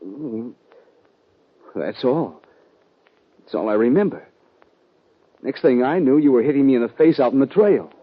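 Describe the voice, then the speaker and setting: An actor playing a wounded young outlaw in a 1950s western radio drama, speaking haltingly, dazed and evasive, in a husky voice. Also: male voice, mid-range voice, expressive. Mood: halting, troubled. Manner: halting, faltering speech. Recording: muffled old radio recording.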